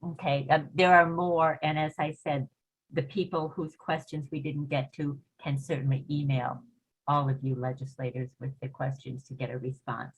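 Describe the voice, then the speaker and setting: A woman's voice: low voice, flat delivery, normal speed, breathy edge. A woman moderating a remote panel and reading out submitted questions. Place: remote video meeting. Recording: muffled video call audio.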